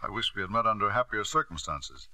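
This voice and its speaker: An actor playing a domineering, middle-aged British lord in a 1950s radio drama, polished and insistent. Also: male voice, lowish voice, lively intonation, fast, bright, forceful tone, no room sound. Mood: smooth, businesslike.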